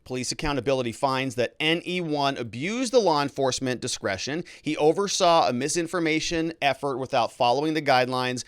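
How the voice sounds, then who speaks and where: Animated male legal commentator, lively pitch swings. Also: a little fast, few pauses, clear, resonant tone, no echo, clean studio sound. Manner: animated, emphatic delivery with informal asides. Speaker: legal commentator and show host. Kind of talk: news commentary, reading a report aloud and paraphrasing it.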